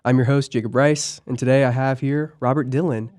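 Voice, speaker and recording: Young male podcast host, slightly hoarse. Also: mid-range voice, fairly even intonation, a little fast, darkish tone. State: hoarse from a cold. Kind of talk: casual podcast chat. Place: campus podcast studio.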